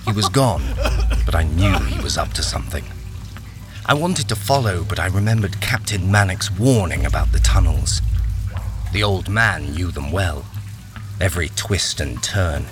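A man's voice: low-pitched, expressive, moderate pace. An actor playing a haunted miner, narrating his ordeal in a brooding, suspenseful radio-drama style. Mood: wary. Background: music.

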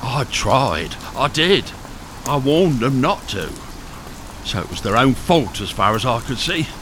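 A man's voice: medium pitch, sing-song, a little slow. A man playing a hunted, hardened smuggler in a radio thriller, terse, commanding and evasive. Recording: noisy radio drama with rain sounds.

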